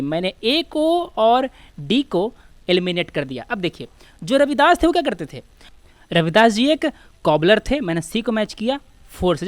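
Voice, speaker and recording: A woman's voice: on the high side, dry recording. A woman with an Indian accent giving an informal exam coaching lecture, explaining step by step and posing questions that she then answers.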